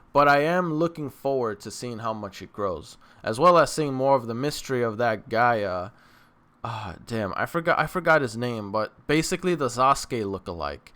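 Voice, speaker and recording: A man's voice: mid-range voice, a bit bright. A man hosting a reaction channel, rambling casually to his viewers in informal slang and repeating himself for emphasis. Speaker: host of an anime reaction channel.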